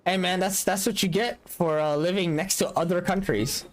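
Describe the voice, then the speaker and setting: A man's voice: high-pitched, moderate pace, a little hiss or hum. A young adult man streaming a game in casual chat, joking about himself and laughing often. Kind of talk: casual live-stream chat while gaming.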